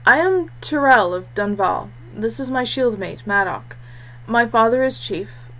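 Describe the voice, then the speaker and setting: A woman's voice: on the high side, animated, measured pace, fairly bright tone, heavily acted, poor audio. A woman voicing an earnest young male warrior heir, forthright and fiery, in a fantasy audiobook. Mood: formal.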